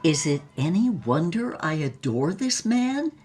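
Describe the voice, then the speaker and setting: An actress playing an older, passionate former movie star, reminiscing in a dramatic, savoring way in an audio-drama memoir. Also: female voice, low-pitched, big pitch swings, a little slow, music in the background. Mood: rapturous. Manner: dramatic, savoring, swooping emphasis.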